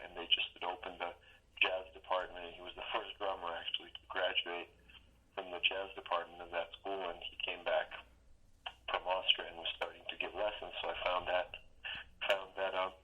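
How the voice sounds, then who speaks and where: A man's voice: deep voice, little pitch movement, a little fast, breathy edge, muffled recording. A man, a guest drummer telling his story in a casual podcast interview, rambling and restarting sentences. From Serbia. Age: 40s. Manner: rambling, restarts sentences, chuckles at own stories.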